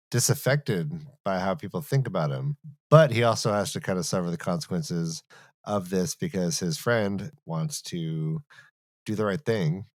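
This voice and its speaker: A man's voice: fairly low pitch, dry recording. A man co-hosting a casual book podcast, talking in a jokey, mock-serious way. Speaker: co-host of a fantasy book re-read podcast.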